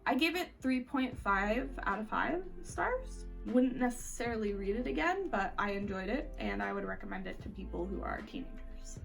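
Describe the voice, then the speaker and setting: A woman with a mid-pitched voice chats informally to viewers as a book reviewer. Her delivery is giggly and loose, peppered with filler words. She sounds a little away from the microphone, with faint music underneath. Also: lively intonation, moderate pace, creaky, hardly any pauses, recorded from a distance. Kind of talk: casual chatty book review to camera.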